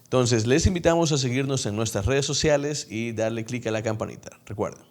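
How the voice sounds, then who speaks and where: A man's voice: medium pitch, fairly quick, a little mellow. A man, hosting an interview show in a casual, conversational style.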